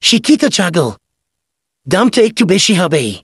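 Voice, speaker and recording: Man playing a husky, sly goat-thief swindler. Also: high voice, big pitch swings, a little slow, a bit bright, no room sound, clean studio sound, exaggerated voice. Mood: glib, persuasive. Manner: glib, wheedling salesman patter. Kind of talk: comedy cartoon voice acting.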